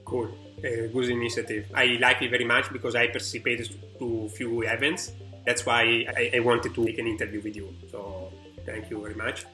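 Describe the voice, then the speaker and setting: A man's voice: mid-range voice, bright, forceful tone, roomy sound. A man hosting an interview, asking questions and giving frequent short affirmations. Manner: frequent brief backchannel affirmations. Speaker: host.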